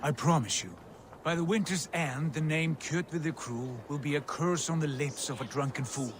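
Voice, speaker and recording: An actor playing a resolute, confident Viking prince and brother in a video game, speaking with assurance. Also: male voice, a little high, moderate pace, noisy background, lightly acted. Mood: determined. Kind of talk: dramatic video game acting.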